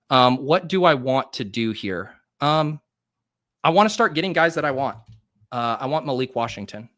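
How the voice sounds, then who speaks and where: Bright, nasal man streaming a draft. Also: mid-range voice. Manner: rapid, chatty thinking aloud with many fillers. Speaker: fantasy football draft streamer and host. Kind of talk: casual live-stream chat while drafting.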